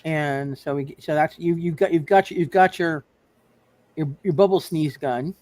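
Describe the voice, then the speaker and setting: A man running a tabletop roleplay game, halting and often repeating his phrases. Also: on the high side, fairly even intonation, darkish tone, dry recording. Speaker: game master.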